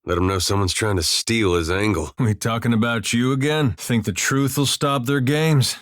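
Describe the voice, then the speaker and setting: A man voicing an easygoing farmer turned adventurer in a video game, with a folksy, drawling, deadpan humour. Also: mid-range voice, normal speed, no echo, clean studio sound, natural voice. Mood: dry, friendly. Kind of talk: video game companion dialogue and barks.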